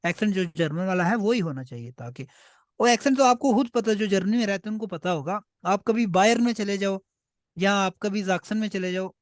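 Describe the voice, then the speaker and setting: A man's voice: sits high, fairly quick, dry recording, clean studio sound. A man whose first language is Punjabi, teaching German to beginners in a patient way and checking often that the class follows. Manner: patient, repeats "ठीक है" often. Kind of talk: online class teaching in Hindi-Urdu.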